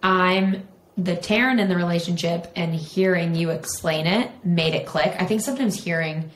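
A woman's voice: fairly low pitch, a little hiss or hum. A young adult woman co-hosting a podcast, chatting casually and teasing her friend over a video call. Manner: chatty, playful and teasing, quick to laugh. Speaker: podcast co-host. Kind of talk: casual chat between friends. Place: room at home, indoors. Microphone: large studio mic on a video call.

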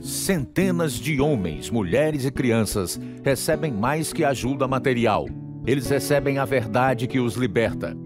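A man's voice: medium pitch, lively intonation, measured pace. A man with a Brazilian accent, announcing and narrating a scripted dramatic radio programme. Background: guitar music.

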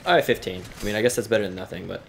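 A man with a fairly low voice that turns gravelly, chatting and joking with a friend while he plays. He calls out directions and teases himself as he goes. Steady game noise sits under him. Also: racing. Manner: chatty, self-mocking, calls out moves. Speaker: co-op gamer. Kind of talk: casual banter while playing.

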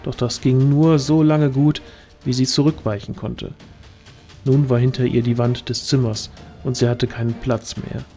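A man's voice: medium pitch, steady tone, normal speed, warm tone, soft background music. A man giving scripted narration for a fantasy audio drama.

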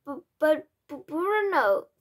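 Woman acting a blustering, outraged English father, loud and husky. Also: sits high, measured pace. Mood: stammering shock. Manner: shouting, stammering, exclamatory. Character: blustering, indignant middle-aged English father, easily outraged. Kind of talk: storybook read aloud.

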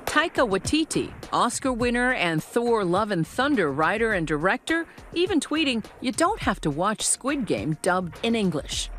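A woman reading a scripted news feature as a reporter's voice-over. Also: mid-range voice. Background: music under the voice.

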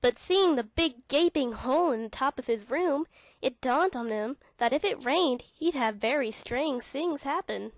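A woman narrating a read-along children's storybook in an expressive, dramatic way. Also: high voice, unhurried, dry recording.

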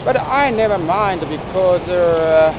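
A man in his forties with a Japanese accent, speaking English haltingly with many fillers as he vlogs to a handheld camera on a town street. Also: high-pitched, lively intonation. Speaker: travel vlogger. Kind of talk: casual vlog to camera. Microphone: handheld camera at arm's length. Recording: tinny phone-like sound with street noise.

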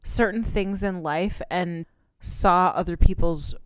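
A woman's voice: lowish voice, slow, clean tone, no room sound. A woman, co-hosting a podcast in casual chat, candid and rambling with casual swearing. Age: 30s. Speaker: podcast co-host. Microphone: close podcast mic.

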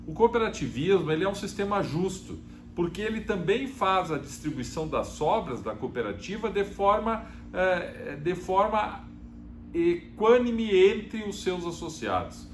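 A man's voice: sits high, very animated. Man in his fifties speaking formally from an office. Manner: measured, formal, frequent "né" and "eh" fillers. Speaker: government official giving a talk. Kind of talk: prepared talk to camera. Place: office, indoors, seated at a desk. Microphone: fixed camera at desk distance. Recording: echoey office room, faint hiss.

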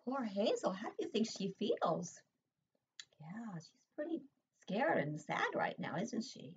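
An older woman and preschool teacher reading a picture book aloud at home, pausing to ask the children questions. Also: on the high side, a little hiss or hum. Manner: expressive read-aloud, asks children questions. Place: home room, indoors. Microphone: webcam at desk. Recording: dull lo-fi webcam audio.

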